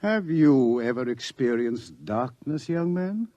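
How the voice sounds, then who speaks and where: An ominous scientist in a lo-fi radio drama. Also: male voice, mid-range voice, lively intonation, slow, mellow, no room sound. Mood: calm, commanding. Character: authoritative older male scientist explaining impending doom. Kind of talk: old radio drama acting. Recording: dull lo-fi old radio recording.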